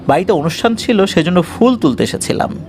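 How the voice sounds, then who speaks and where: A man voicing a gentle, pious female fairy spirit from under the sea in a horror story, coaxing and reassuring. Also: high-pitched, lively intonation, fairly quick, slight background noise. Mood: calm, mysterious.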